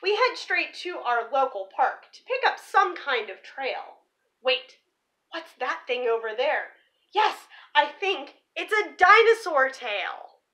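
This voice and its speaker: A young woman presenting to children in an expressive storybook read-aloud style, stressing key words like a teacher. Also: sits high, slow. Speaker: teacher reading a picture book aloud for children. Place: small living room or room at home, indoors.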